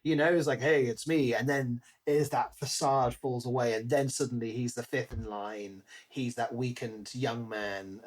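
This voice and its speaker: A man's voice: medium pitch, fairly even intonation, moderate pace, hardly any pauses. A man co-hosting a podcast, discussing a book casually and conversationally, slipping into paraphrased quotes. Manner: conversational, acts out imagined quotes. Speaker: podcast co-host.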